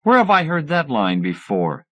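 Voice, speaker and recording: Excitable male mad scientist, played by a male narrator: pushy, defiant, emphatic, pitched up, audiobook dialogue. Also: moderate pace. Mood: pushy, threatening. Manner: emphatic, agitated.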